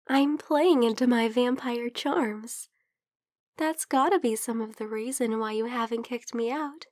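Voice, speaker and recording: A tender young vampire girl played by a woman speaks in a high, gentle voice, very slowly and hesitantly. She sounds a bit under the weather, often dropping toward a whisper in a close, dry ASMR roleplay. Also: no room sound. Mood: teasing, playful. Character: caring young female vampire best friend, sick, tender. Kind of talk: ASMR roleplay, intimate, soft-spoken.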